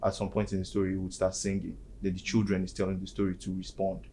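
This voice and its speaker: A man's voice: sits low, completely flat delivery, quick pace, mellow, slight background noise. A young man, explaining things in a casual discussion and filling his talk with "like". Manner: explanatory, many fillers like "like". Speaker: student panelist.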